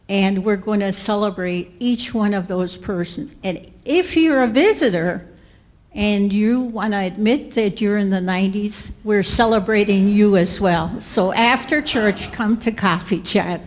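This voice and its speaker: A woman in a congregation announcing celebrations and inviting everyone to a coffee gathering. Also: mid-range voice. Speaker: congregation member. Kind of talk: announcement at a church service.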